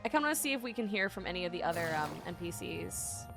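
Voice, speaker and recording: A woman's voice: mid-range voice, lively intonation, fast talker, creaky. A woman streamer giving casual, exclamatory, giggly reactions to a game. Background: game music.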